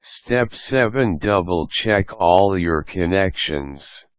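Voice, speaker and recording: A man reading a scripted instructional guide as a tutorial narrator, in a measured, step-by-step manner. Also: deep voice, animated, no room sound.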